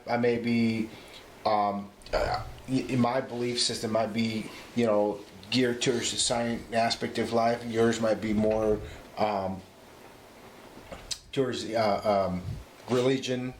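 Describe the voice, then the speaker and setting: A man's voice: on the low side, level tone, slow, a little hiss or hum. Easygoing man in a roomy space. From Mexico. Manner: chatty and easygoing, trails off with fillers. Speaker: guest. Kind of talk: casual live-stream chat. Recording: roomy echo.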